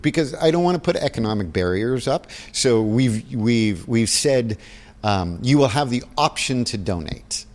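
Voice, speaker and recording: A man giving conversational interview answers, halting and repeating words as he thinks aloud. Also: lowish voice, darkish tone, in a large hall. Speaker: interview guest, theatre production organizer.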